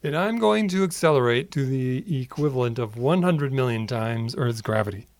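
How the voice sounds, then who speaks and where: A man's voice: mid-range voice, lively intonation, normal speed. A man podcast co-host reading the closing thanks and a playful sign-off. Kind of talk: scripted podcast outro.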